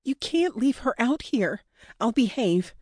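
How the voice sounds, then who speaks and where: A woman's voice: on the high side, sing-song, takes it slowly, mellow, no echo, clean studio sound, voice pushed up, exaggerated voice. A woman playing a defiant young captive woman. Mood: pleading. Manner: urgent, defiant. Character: defiant young woman captive, wry, pleading for her friend.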